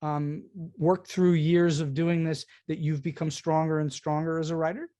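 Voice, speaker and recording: A man's voice: fairly high pitch, flat delivery, normal speed, mellow. A man hosting a conversational interview, probing and reflective, restating what he hears as he asks questions. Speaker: podcast host, interviewer.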